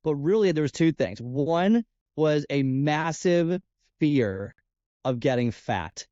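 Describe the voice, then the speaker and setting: A man's voice: a little high, expressive, takes it slowly, warm tone, no room sound. A man with a nasal voice, a fitness coach guest on a podcast, talking casually and rambling with lots of "like" and restarts. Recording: dull, lo-fi podcast audio.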